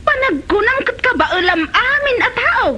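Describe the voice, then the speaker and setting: Woman acting a sharp high-voiced Ilocano mother. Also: animated, normal speed, exaggerated voice. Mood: scolding. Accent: Ilocano Filipino. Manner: scolding, exasperated. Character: exasperated scolding Filipino mother of a grown son. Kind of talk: Filipino comedy radio drama acting.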